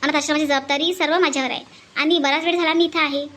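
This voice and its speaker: Someone doing a sweet earnest city lady for a cartoon drama, super high voice, polite, sentimental, pleading. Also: steady tone, quick pace, a bit bright. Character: earnest, tender orphanage woman from Mumbai, bidding farewell. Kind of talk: melodramatic cartoon dialogue.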